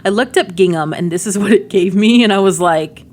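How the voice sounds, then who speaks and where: A woman's voice: mid-range voice, brisk, a little mellow. A woman giving casual game demo commentary, chatty and informal, often thinking aloud as she goes. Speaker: gaming video creator.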